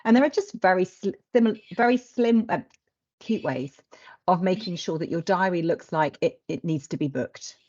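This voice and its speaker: A woman's voice: fairly low pitch, lively intonation, normal speed, darkish tone. A middle-aged woman hosting a conversational discussion over a video call from an office. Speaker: host. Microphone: video call microphone. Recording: dull lo-fi call audio.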